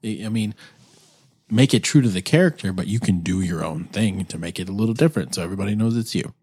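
A man's voice: sits low, fast, darkish tone, breathy edge. A middle-aged man co-hosting a podcast, talking enthusiastically in casual chat and laughing easily. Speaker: podcast co-host.

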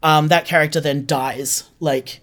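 A podcast creator with a medium-pitched voice talks casually as an interview guest. The delivery is chatty and conversational, with thoughts worked out aloud and corrected along the way. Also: steady tone, unhurried, a bit bright.

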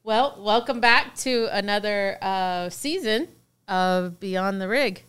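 A woman's voice: medium pitch, slow pace, fairly bright tone. A middle-aged woman hosting a podcast in a chatty, casual way, laughing easily. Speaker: podcast host.

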